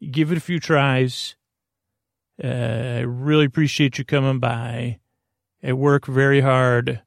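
A man's voice: mid-range voice, little pitch movement, slow pace, vocal fry, no room sound, clean studio sound. A man hosting a silly bedtime podcast in a rambling monologue, hesitant and often trailing off mid-thought. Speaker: podcast host.

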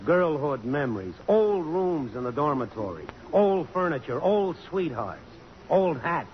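An actor playing a sardonic, needling magazine reporter in a radio drama, dry, blunt and wisecracking. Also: male voice, fairly high pitch, sing-song, unhurried, a little hiss or hum. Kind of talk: 1950s radio drama acting. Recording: dull old radio broadcast, music.

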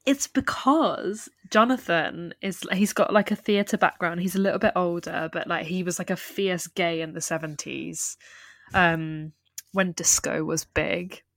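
Low-voiced woman recapping a film. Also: a little slow, gravelly, no echo, clean studio sound. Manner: animated retelling with laughs. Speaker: podcast co-host. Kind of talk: casual film discussion chat.

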